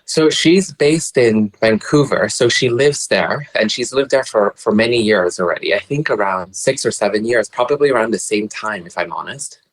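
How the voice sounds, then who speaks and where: A polite young man from Brunei with a Canadian-tinged English accent, chatting as a podcast guest over a remote call, soft-spoken and hesitant with frequent restarts. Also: on the low side, lively intonation, moderate pace, hardly any pauses, poor audio. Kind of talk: casual chat.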